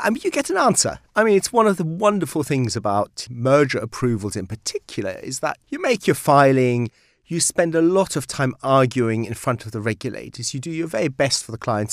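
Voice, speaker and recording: A Belgian-born man whose first language is Dutch, speaking as a guest lawyer in a studio interview and explaining things in a measured, emphatic way. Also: medium pitch, big pitch swings, no echo. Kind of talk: articulate interview answers.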